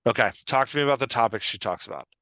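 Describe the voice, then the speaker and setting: A man's voice: mid-range voice, racing, pushed and bright, no room sound. A man hosting a podcast as a writing coach, explaining conversationally, thinking aloud and often checking that he is understood.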